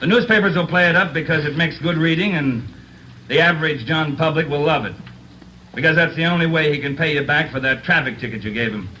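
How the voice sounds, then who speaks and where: A man's voice: on the high side, brisk, bright, forceful tone, rough recording. An American man playing a middle-aged veteran police officer, giving a blunt, emphatic speech in a 1940s radio drama. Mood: stern, earnest. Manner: plain-spoken, emphatic, hard-bitten. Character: blunt, earnest veteran male police officer lecturing cadets. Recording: hissy old 1940s radio recording.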